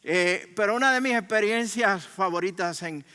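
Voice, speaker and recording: A man with a Puerto Rican accent preaching as a pastor, telling stories in deliberate phrases with pauses, in a conversational way. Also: high voice, bright, forceful tone, smooth. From Puerto Rico. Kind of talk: sermon. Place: large room, speaking to a congregation. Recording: reverberant hall sound.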